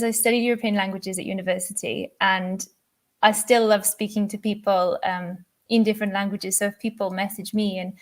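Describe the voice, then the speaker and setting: Young adult Welsh woman, Welsh accent, friendly talkative interview guest. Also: mid-range voice. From Wales. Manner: chatty and warm, laughs often. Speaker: guest opera singer. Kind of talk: casual interview chat.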